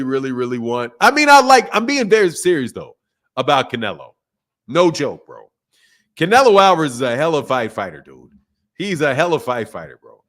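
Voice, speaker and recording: Guy from the us w/ high pitched voice, casual live chat host, slangy and emphatic, repeats himself a lot. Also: fairly bright tone. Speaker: livestream host of a boxing talk channel. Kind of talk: casual livestream chat, reading and answering viewer messages.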